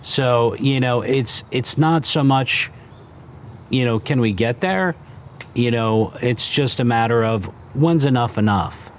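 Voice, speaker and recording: A man in his fifties from New Jersey, a restaurant founder talking casually in a fireside interview, halting with fillers and restarts. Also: medium pitch, slight background noise. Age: 50s. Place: outdoors by a fire pit.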